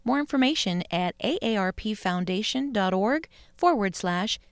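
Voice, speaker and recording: A woman's voice: mid-range voice, animated, moderate pace, no room sound, studio quality. A woman with an American accent reading scripted sponsor announcements for radio.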